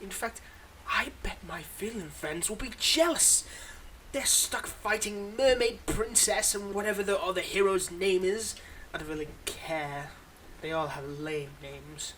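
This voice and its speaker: A man's voice: sits high, measured pace, fairly bright tone. Wry villain, played by a man, noisy recording. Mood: teasing, fond. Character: weary, wry, teasing supervillain with memory powers, secretly kind. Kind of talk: roleplay audio acting, intimate monologue to listener.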